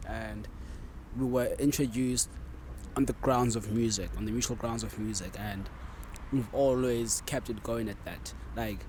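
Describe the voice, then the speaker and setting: A man's voice: medium pitch, a little mellow. A man from South Africa chatting casually as a music artist being interviewed. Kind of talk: casual chat. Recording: outdoor urban traffic noise.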